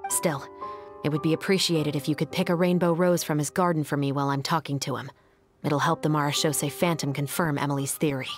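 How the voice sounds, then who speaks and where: A woman's voice: sits low, steady tone, brisk, faint music behind, close to an everyday voice. A woman playing a dutiful, composed young musketeer captain with a formal, authoritative manner in an anime video game. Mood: businesslike.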